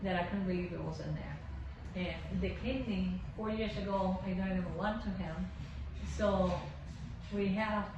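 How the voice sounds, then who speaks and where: A woman's voice: medium pitch, fairly even intonation. Grateful woman patient, echoey and distant. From Michigan, USA. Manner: earnest, halting, grateful. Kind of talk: patient testimonial. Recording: echoey room, far from mic, dull and noisy.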